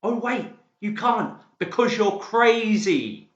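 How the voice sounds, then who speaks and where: A man's voice: sits high, expressive, takes it slowly, pitched above the performer's own voice. A man playing a troubled, sarcastic male psychiatric patient in a dramatised audiobook, snapping and taunting. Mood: heated, sarcastic. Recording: dull, roomy recording.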